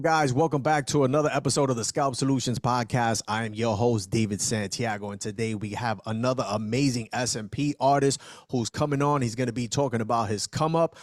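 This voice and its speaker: A man's voice: medium pitch, no echo, studio quality. A man hosting a podcast, greeting and questioning his guest with enthusiastic, casual energy. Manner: enthusiastic, repeats "outstanding". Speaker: podcast host.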